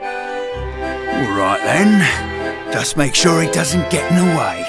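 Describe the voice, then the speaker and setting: Blustering, high-pitched police chief. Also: male voice, expressive, slow, creaky, loud music behind the voice, exaggerated voice. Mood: impatient, grudging. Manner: brusque, barking, bossy. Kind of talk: animated game cutscene acting.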